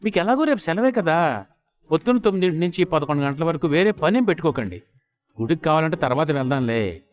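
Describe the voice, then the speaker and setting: A man plays a melodramatic wife in a family comedy story. She is bossy and excitable, pitched well up high, and nags theatrically. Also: fast talker. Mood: scolding. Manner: nagging, wailing theatrically.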